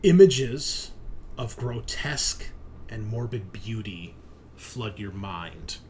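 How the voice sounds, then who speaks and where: A man with a fairly low voice runs a horror tabletop game as game master. He speaks slowly and deliberately, with a dramatic storyteller's delivery. There is clear noise behind him. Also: lively intonation, darkish tone.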